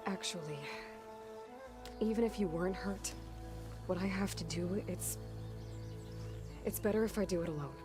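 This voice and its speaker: A woman's voice: fairly low pitch, normal speed, long pauses, music in the background, close to an everyday voice. A woman acting a determined, guarded young warrior in a video game cutscene, earnest, blunt and restrained. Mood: grave, insistent. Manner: earnest, restrained, often hushed.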